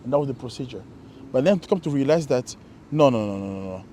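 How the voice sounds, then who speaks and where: A man co-hosting a casual conversation, reflective and halting, often restarting his sentences. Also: mid-range voice, animated, dark tone, a little hiss or hum. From Congo. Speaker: co-host.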